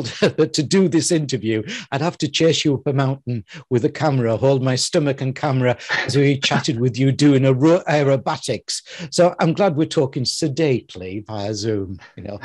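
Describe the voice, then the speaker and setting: A man's voice: mid-range voice, darkish tone, no echo, studio quality. An older man hosting an interview, talking playfully with theatrical emphasis on a headset mic. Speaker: host. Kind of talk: interview intro and questions.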